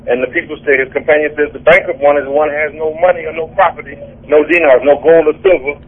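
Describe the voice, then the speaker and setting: A husky-voiced man explains religious teachings at an even, patient pace, often restarting mid-phrase. His voice comes through a narrow, phone-like line that thins it out. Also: on the high side, fast talker. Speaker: religious speaker. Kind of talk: explaining faith on call-in radio.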